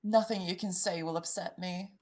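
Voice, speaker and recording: A woman's voice: on the low side, steady tone, moderate pace, a little mellow, natural voice. A woman playing a proud, grieving young noblewoman, haughty and bitterly defiant, in a fairy-tale table read. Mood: wounded, defiant. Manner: haughty, wounded, intense.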